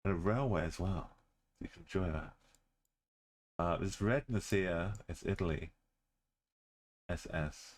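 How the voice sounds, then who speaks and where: A man's voice: sits low, a little slow, pauses often. A man streaming a game, thinking aloud in short muttered phrases. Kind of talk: commentary while playing a game.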